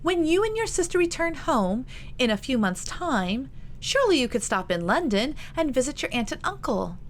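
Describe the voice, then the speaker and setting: A woman reader plays a chatty young married lady from a period storybook. She is energetic and encouraging, with a raised pitch. There is noticeable noise in the background. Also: moderate pace. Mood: cheering up. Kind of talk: audiobook character dialogue.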